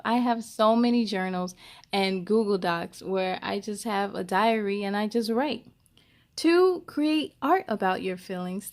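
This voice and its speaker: A woman hosting a children's story time, reading aloud expressively with chatty asides and speaking encouragingly straight to young listeners. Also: mid-range voice.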